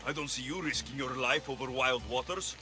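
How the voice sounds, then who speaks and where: A man's voice: mid-range voice, a little fast, sharp tone. An actor playing a cocky, sardonic male mercenary in a video game cutscene. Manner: dry, sardonic, bargaining. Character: cocky, wry male mercenary in ancient Greece.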